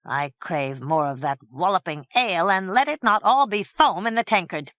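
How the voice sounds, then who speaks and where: A woman's voice: lowish voice, animated, moderate pace, dry recording, lightly acted. A woman voicing a blunt, hungry male ogre head in a storybook reading, giving orders. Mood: demanding. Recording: dull dry lo-fi recording.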